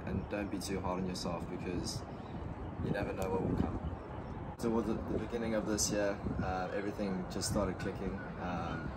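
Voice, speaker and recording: Deep, flat-voiced South African teen guy. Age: late teens. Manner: soft-spoken, many ums and restarts. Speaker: junior golfer interviewee. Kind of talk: casual interview answers. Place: outdoor deck overlooking a golf estate. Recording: loud outdoor background noise.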